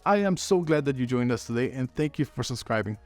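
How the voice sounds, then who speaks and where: A man's voice: mid-range voice, lively intonation, fairly quick, a little mellow, faint music behind. A man hosting his own channel as an inventor, narrating a scripted video update to viewers. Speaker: host and inventor.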